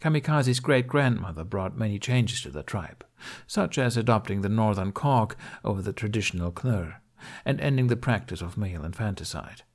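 A man's voice: on the low side, lively intonation, moderate pace, a little mellow. A man reading scripted fiction as an audiobook narrator.

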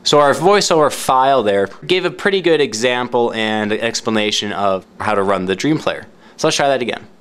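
A man's voice: medium pitch, expressive, normal speed, a bit bright. A man narrating a step-by-step product tutorial in an informal, explanatory way, repeating key points for clarity. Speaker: product demonstrator.

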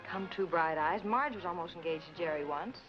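A woman's voice: on the low side, fairly quick, close to an everyday voice. A young woman playing a breezy, slangy party guest with a flip, wisecracking way, in 1940s screwball film style. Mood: gossipy. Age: young adult. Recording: muffled 1940s film soundtrack, loud music.

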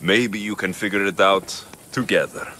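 An actor playing a mercenary hero in a video game, speaking in a low, steady, consoling way. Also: male voice, normal speed, slight background noise, low-quality recording. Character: gruff male Greek mercenary hero, adult. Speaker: game protagonist. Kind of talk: video game cutscene acting.

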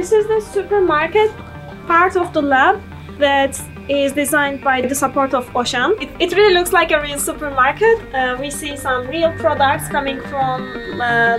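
A young woman from Turkey, a PhD student showing viewers around a research lab in a friendly, explanatory way. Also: high voice. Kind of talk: guided tour talk. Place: indoor research lab rooms. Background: background music.

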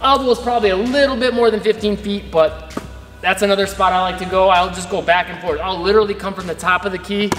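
A man's voice: high voice, steady tone, fast talker, fairly bright tone, faint music behind. A man in his thirties, a basketball coach, giving casual instruction while demonstrating moves in a large indoor gym, filmed by a camera some distance away. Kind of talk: instructional video. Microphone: far camera. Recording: echoey gym with long reverb.

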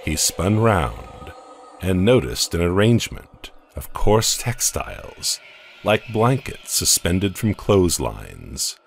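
Deep-voiced guy narrating a horror comic, slow and expressive, faint hiss behind. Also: vocal fry. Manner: slow, deliberate, dramatic.

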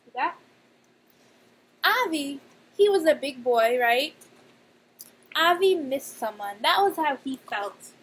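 A young woman, a nursery teacher, reading aloud and talking to young children in simple, expressive speech with emphatic pauses. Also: high voice. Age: young adult. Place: small indoor room. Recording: clear background noise, webcam-style room recording.

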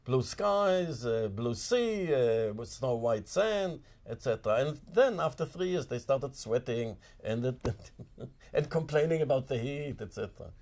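Older male interviewee, mid-pitched, animated storyteller who pauses and restarts, recorded in an office. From born in Germany, grew up in Palestine. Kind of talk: recollecting in an interview. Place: indoor office with shelves.

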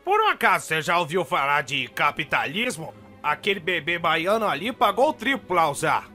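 A man's voice: a little high, very animated, moderate pace, bright, forceful tone, soft background music. A man voicing a sly, pushy, greedy plane owner in a comic anime parody dub.

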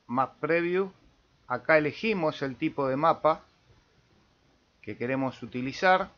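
A man with an Argentine accent walks through software in an informal tutorial style. His voice sits a little high and he takes his time, thinking aloud as he goes. The recording is somewhat muffled and lo-fi. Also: a little slow. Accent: Argentine Spanish. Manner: thinking aloud while demonstrating, checking in with "¿eh?". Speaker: amateur radio video tutorial host.

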